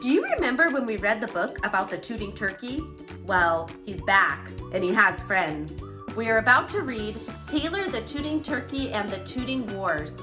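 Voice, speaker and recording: Animated, higher-pitched woman reading to children. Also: normal speed, over music. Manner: animated, dramatic emphasis. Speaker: children's read-aloud host. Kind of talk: expressive storybook narration for kids.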